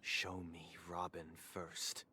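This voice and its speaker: A man's voice: deep voice, completely flat delivery, takes it slowly, a little hiss or hum, heavily acted. An actor voicing a stern, wounded young nobleman in a game, terse, cold and guarded. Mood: cold, demanding. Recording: game audio with loud music.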